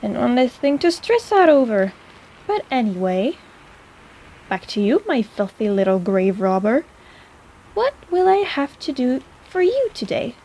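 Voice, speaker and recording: A woman's voice: fairly high pitch, very animated, a little slow, warm tone, poor audio. A woman playing a sarcastic but caring apothecary in an intimate personal-attention audio roleplay, with a playful, chiding manner. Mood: sardonic, teasing.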